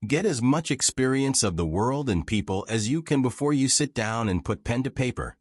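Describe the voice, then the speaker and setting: A man's voice: medium pitch, animated, normal speed, dry recording, clean studio sound, close to an everyday voice. A man voicing a frank, wry old American war reporter reminiscing in folksy, self-deprecating audiobook dialogue. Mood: kindly advising.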